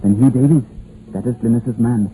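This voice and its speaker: Actor as a blunt Welsh miner, very muffled. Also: male voice, mid-range voice, moderate pace, warm tone, lightly acted. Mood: grim, insistent. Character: blunt, gloomy male Welsh village coal miner. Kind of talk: 1950s radio drama acting. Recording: muffled, faintly noisy old radio recording.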